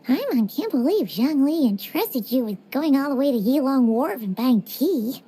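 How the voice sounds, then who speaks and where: A woman's voice: expressive, moderate pace, dark tone, a little hiss or hum. A woman voicing a tiny, chirpy female fairy companion who is blunt and teasing in a game cutscene. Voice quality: squeaky, childlike.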